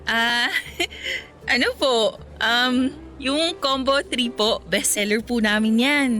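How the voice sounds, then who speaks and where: High-voiced, girlish young woman. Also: takes it slowly, pushed and bright, soft background music. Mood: shy, polite. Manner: giggly, bashful, girlish. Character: smitten, naive young provincial woman working at a cafeteria. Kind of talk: radio romance drama acting.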